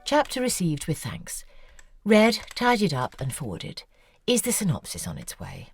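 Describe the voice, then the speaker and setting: An actress voicing a poised, probing woman novelist in an audio drama, speaking in a measured, wry way. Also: fairly low pitch, wildly swooping pitch, soft background music. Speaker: author character.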